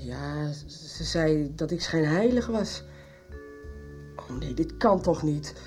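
Hesitant middle-aged farm wife, radio drama: warm dark tone, expressive intonation. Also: female voice, low voice, slow pace, faint music behind. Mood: shocked disbelief. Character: uneasy, hesitant married farm woman. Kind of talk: Dutch radio soap acting.

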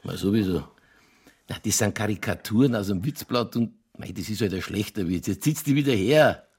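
A man playing an older, folksy Bavarian police chief in a radio crime drama, sly and skeptical, with a colloquial, dry, placating manner and a gravelly voice. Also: on the low side, moderate pace, a little mellow.

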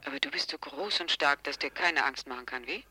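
An actress playing a detective's caustic, mocking sweetheart in a German radio drama, teasing and sarcastic. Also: female voice, sits low, a little fast, sharp tone, breathy edge, heavily acted. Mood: mocking, reproachful.

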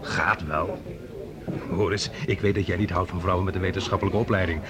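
A male actor plays a breezy, chatty colleague in a radio drama. He talks fast in a lowish male voice, with a crowd murmuring behind him. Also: steady tone, lightly acted. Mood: teasing, eager. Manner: chatty, teasing, brisk. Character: breezy, teasing male colleague with an eye for women. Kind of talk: Dutch radio play dialogue.

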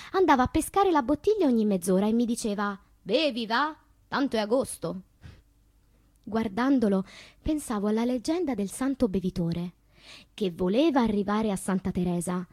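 An actress playing a sensual, unashamed woman poet in a deliberate, intimate theatrical monologue about her inner life. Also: medium pitch, mellow, no echo, studio quality, close to an everyday voice. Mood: wistful storytelling. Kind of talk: theatrical literary monologue with sung passages.

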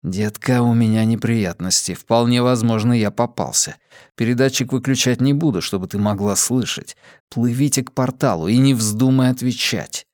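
A man's voice: mid-range voice, moderate pace, darkish tone, dry recording, natural voice. Guy reading as an adventurer in a tight spot, bluffing, mid voice, dry audiobook sound. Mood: hushed, urgent. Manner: casual, feigned confidence. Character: male adventurer bluffing his way out of trouble.

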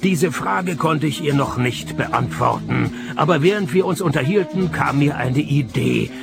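An actor playing a dry, stubborn private detective in a radio play, with a Swiss accent and a deadpan, sardonic delivery. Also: male voice, fairly high pitch, a little slow, vocal fry, music in the background. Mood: dry, matter-of-fact. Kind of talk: radio play acting and first-person narration.

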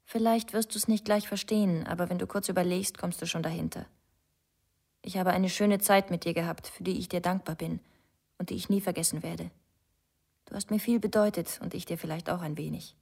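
An actress playing a hurt, proud, quietly resolute woman in a radio drama, speaking with restraint. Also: fairly low pitch, fairly even intonation, a little fast, gravelly, slightly rough. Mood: sad, restrained.

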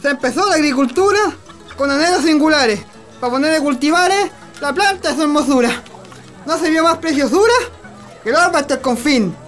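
A man's voice: very high-pitched, moderate pace, a bit bright, faint music behind. A man playing a flirtatious Chilean country cowboy declaiming singsong courtship verses in a radio play, with a Chilean accent. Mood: gallant, festive. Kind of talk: festive folk verse recitation in a radio play.

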